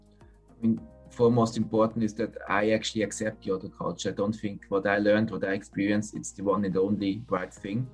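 A man's voice: on the low side, little pitch movement, husky, soft background music. A man from Switzerland with a Swiss accent, a podcast guest talking frankly and often restarting his sentences. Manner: frank, halting, restarts phrases mid-sentence. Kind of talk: casual interview conversation.

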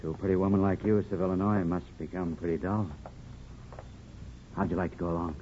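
An actor playing a smooth-talking but hesitant small-town man in a 1950s radio drama. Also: sits low, fairly even intonation, brisk, lightly acted. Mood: coaxing. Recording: dull, faintly noisy old radio recording.

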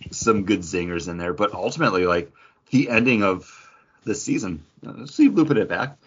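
A man's voice: fairly low pitch, animated, moderate pace, breathy edge. A man co-hosting a pop culture podcast, chatting in a jokey, conversational way. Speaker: podcast co-host. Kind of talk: casual pop culture chat. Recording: dull, lo-fi podcast audio.

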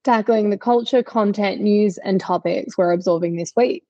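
A woman's voice: mid-range voice, normal speed, warm tone, no room sound. A woman of about thirty co-hosting a podcast, chatting casually and candidly in a long-winded storytelling way, recorded on a makeshift setup. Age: 30s. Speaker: podcast co-host. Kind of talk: casual conversational podcast chat. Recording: slightly dull makeshift mobile setup.

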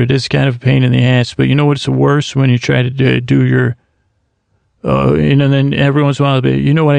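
A man's voice: medium pitch, a little mellow, no echo. A man hosting a bedtime podcast, rambling in a meandering way with lots of restarts and trailing thoughts. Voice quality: gravelly. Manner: meandering, self-interrupting, many restarts. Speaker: podcast host. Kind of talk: rambling sleep podcast monologue.

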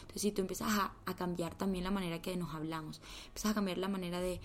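A young woman, hosting a podcast in a heartfelt, motivational chat that often sinks to a soft whisper. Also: lowish voice, steady tone, quick pace. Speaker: podcast host.

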